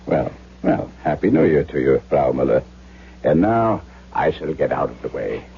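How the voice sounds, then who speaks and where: A man's voice: extremely low pitch, sing-song, normal speed, vocal fry, breathy edge, exaggerated voice. An actor playing an impatient, harried German doctor who narrates his own story in a theatrical, emphatic 1970s radio drama style. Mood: brusque. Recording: dull, noisy 1970s radio drama recording.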